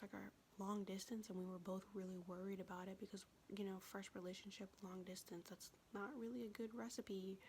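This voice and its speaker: A young woman telling a personal story in a soft, confessional whisper, vlog style. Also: medium pitch, slight background noise.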